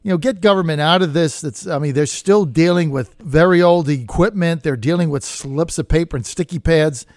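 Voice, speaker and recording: A man's voice: a little high, moderate pace, hardly any pauses, darkish tone, no echo. A man hosting a political talk radio show, plain-spoken and opinionated, talking in short punchy sentences. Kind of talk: conversational political radio interview.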